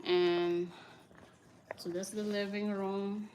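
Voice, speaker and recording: A woman's voice: medium pitch, level tone, takes it slowly, a little mellow, a little hiss or hum, rough recording. A woman from the Philippines with a Filipino accent, chatting casually as she gives viewers a tour of her house and greets them by name. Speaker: vlogger, mother.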